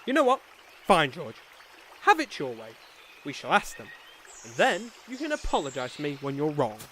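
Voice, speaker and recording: A man's voice: on the high side, wildly swooping pitch, moderate pace, pauses often, a bit bright, slight background noise, full character voice. A man playing a pompous, stubborn noble knight in a comedy fantasy sketch, haughty and indignant. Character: pompous, blustering noble knight, proud and obstinate.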